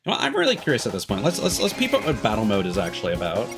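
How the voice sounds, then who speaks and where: Male game streamer, lower-pitched voice, casual and rambling chat to viewers, halting as he thinks aloud, prominent game music behind. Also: wildly swooping pitch, creaky. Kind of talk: casual live game commentary, chatting to viewers. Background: video game music.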